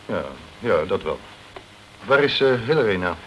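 A sceptical, blustering British consul, noisy recording. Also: male voice, lowish voice, very animated, normal speed. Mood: wary. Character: sceptical, self-protective British consul in the French Antilles. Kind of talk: crime radio play acting.

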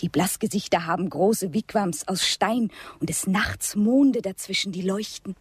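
Very breathy, dreamy young Comanche woman. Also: medium pitch, a little slow, no echo. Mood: dreamy longing. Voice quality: very breathy, often half-whispered. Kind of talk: radio drama acting.

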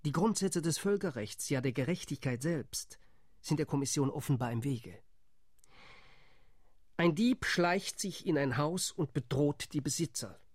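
Man voicing a sardonic spokesman in a radio play, higher voice, dry sound. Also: expressive, unhurried, slightly rough, no room sound. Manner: sardonic, pointed.

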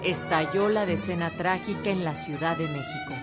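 Lower-voiced woman narrator over loud music. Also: moderate pace. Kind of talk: scripted historical documentary narration.

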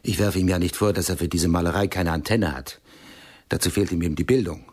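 A man's voice: sits low, fast talker, darkish tone. A man acting a cultured, easily offended art lover in a radio play, speaking emphatically and pedantically. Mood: indignant.

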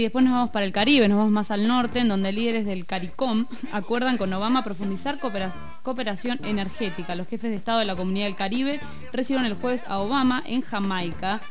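Female radio co-host, mid-pitched, jokey casual news chat, faint music under. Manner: joking, conversational, reads news items.

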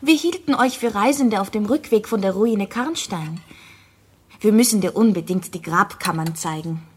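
An actress playing an earnest, haunted young woman who narrates and speaks in a gothic radio drama, in a soft, breathy voice. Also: mid-range voice, normal speed, natural voice.

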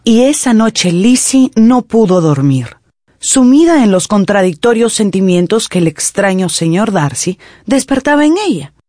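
A woman's voice: medium pitch, big pitch swings, a little slow, dark tone, no echo, clean studio sound. A woman narrating a radio drama as a scripted storyteller.

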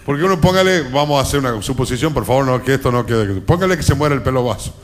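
A man's voice: mid-range voice, lively intonation, very fast, slight background noise. An Argentine man co-hosting a radio show, making wry, joking remarks about politics. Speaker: radio co-host. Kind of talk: comic political banter with co-hosts. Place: live radio broadcast from a bar.

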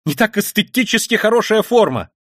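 A man reading an audiobook voices a tormented, proud and feverish young man who speaks quietly and wearily and flares into shouting rage. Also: lowish voice, normal speed, no room sound, studio quality, close to an everyday voice. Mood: furious. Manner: quiet and weary, then bursts into shouting rage. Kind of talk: audiobook reading of a classic novel.

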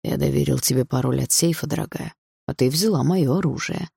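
A woman's voice: extremely low pitch, a little fast, vocal fry, dark tone, husky, dry recording, clean studio sound, full character voice. A woman voicing a menacing, obsessive and smug husband with an insinuating delivery in an audiobook. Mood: angry, bitter. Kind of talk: audiobook character dialogue.

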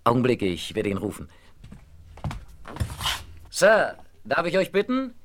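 An actor playing a courteous, brisk young railway engineer in a German radio play western. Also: male voice, mid-range voice, wildly swooping pitch, noisy background. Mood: eager. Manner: polite, businesslike.

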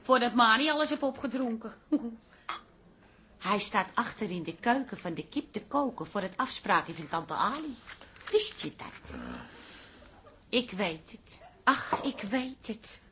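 A scheming café barmaid with a high, very lively voice. She banters briskly and wheedles in a radio play, bright over faint music. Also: slight background noise. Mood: confiding. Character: bossy, scheming, chatty female café barkeeper. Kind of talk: radio drama acting.

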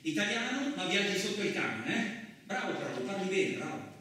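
A man's voice: high voice, sing-song, normal speed, bright, forceful tone, far from the mic, voice pushed up, heavily acted. Jeering gangmaster in an echoey space, acted by a man. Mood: jeering scorn. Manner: jeering, repeating words sarcastically. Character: mocking male farm gangmaster, scornful bully. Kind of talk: radio theatre monologue acting. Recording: very echoey room, off-mic, some noise.

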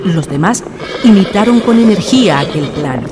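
A man's voice: high voice, lively intonation, moderate pace, heavy background noise. A man narrating a scripted horror radio drama in a solemn, dramatic manner. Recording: radio play with music underneath. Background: music.

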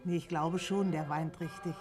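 An actor playing an inquisitive, brisk villager in a German radio play. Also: male voice, fairly high pitch, fairly even intonation, music in the background, lightly acted. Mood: curious, brisk.

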